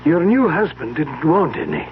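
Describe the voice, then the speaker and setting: A high male voice for a loyal old-time radio manservant. He is gentle, deferential and a little halting, heard through a tinny, noisy vintage recording. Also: sing-song. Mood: kindly, reassuring. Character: courteous, kindly male household servant, loyal and attentive.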